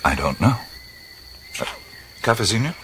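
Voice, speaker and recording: Soft-spoken adventurer man, very deep voice, lively pitch, hesitant and musing, radio drama, loud crickets behind. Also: moderate pace, noisy background. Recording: radio play with loud night insects.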